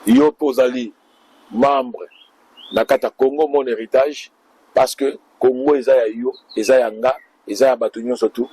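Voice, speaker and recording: Husky man giving a campaign-style interview. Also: mid-range voice, fairly even intonation, moderate pace, warm tone, no echo, low-quality recording. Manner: speechlike, addressing the public. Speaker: political party official. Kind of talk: interview answers.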